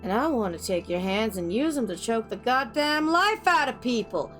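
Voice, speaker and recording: A woman's voice: fairly high pitch, animated, unhurried, fairly bright tone, soft background music, pitched above the performer's own voice. A woman voicing a fierce, vulgar demon in a storybook reading, with a Southern US accent and a taunting, madly gleeful manner. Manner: taunting, coaxing, madly joyful.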